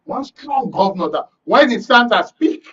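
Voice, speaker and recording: Husky, high-pitched Nigerian male host. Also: big pitch swings, moderate pace, a bit bright. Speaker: talk show host. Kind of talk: moderating a political discussion. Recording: muffled, distant-sounding studio talk.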